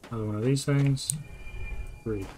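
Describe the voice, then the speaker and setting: A man's voice: steady tone, moderate pace, warm tone, a little hiss or hum. Mumbling young man playing a game. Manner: mumbled, low-key musing to himself. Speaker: gaming streamer. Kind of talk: relaxed let's-play commentary.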